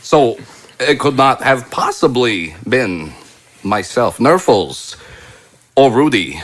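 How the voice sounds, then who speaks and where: A man playing a pompous, fussy stuffed animal toy in an improvised comedic audio drama, speaking in deliberate, halting phrases. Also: mid-range voice, sing-song, fairly bright tone, full character voice. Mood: pompous reasoning.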